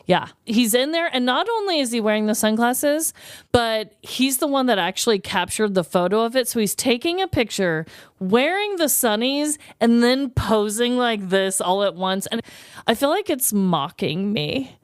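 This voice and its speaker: Expressive American woman, clear lively voice. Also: fairly high pitch, normal speed, no echo, studio quality. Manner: expressive, exclamatory, casually profane. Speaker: podcast co-host. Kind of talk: casual comedic banter.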